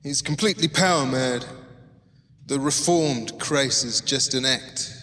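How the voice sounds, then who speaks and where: A man's voice: medium pitch, a little slow, bright, forceful tone, a little hiss or hum. An actor playing an intense male alien witness in a science-fiction TV drama, emphatic and accusatory. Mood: accusing. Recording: very reverberant TV show audio.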